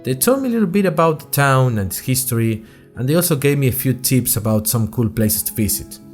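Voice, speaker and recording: A man's voice: mid-range voice, fairly quick, faint music behind, natural voice. A man playing a curious young student journalist, narrating his adventures in a chatty, wry way as host of a fictional podcast. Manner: chatty, wry, expressive storytelling. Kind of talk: scripted first-person audio drama narration in chatty podcast form.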